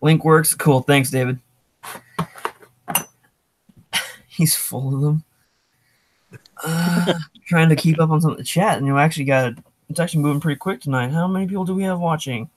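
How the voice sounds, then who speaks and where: A man's voice: on the high side, normal speed, pauses often, dry recording. A man hosting a casual livestream, talking off the cuff and thinking aloud as he goes. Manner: off-the-cuff, thinking aloud while reading chat. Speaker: livestream host.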